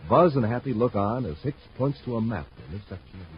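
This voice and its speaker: Guy as old time radio serial narrator, american, dramatic n deliberate, muffled noisy recording. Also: mid-range voice, animated, lightly acted. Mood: dramatic, scene-setting. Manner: dramatic, deliberate announcer delivery. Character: dramatic male space adventure serial announcer. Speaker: announcer and narrator.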